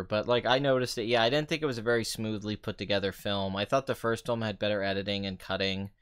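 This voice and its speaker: A young man talks into a compressed desk mic at home, his stuffy, nasal voice still smooth and fairly low. He rambles casually with fillers and restarts, and a slight tremble runs through it. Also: fairly bright tone. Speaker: movie reviewer live-streaming. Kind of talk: casual live-stream chat.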